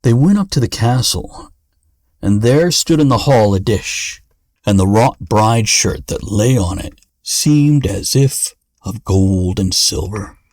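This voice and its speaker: A man's voice: fairly low pitch, animated, takes it slowly, darkish tone, no room sound. Man, fairy tale narration, podcast storyteller, dry recording.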